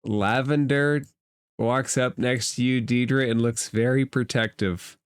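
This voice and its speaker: Slow-paced, clear-voiced man as game master. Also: medium pitch, fairly even intonation, dry recording, studio quality. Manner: measured, explaining rules and addressing players. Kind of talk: narrating a tabletop roleplaying game.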